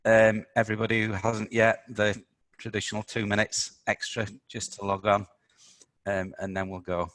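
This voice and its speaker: A man hosting a business webinar, a little croaky from talking all week, explaining with many ums and uhs. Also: lowish voice, monotone, normal speed, fairly bright tone, no echo. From United Kingdom. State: slightly croaky voice. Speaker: webinar host, accountant.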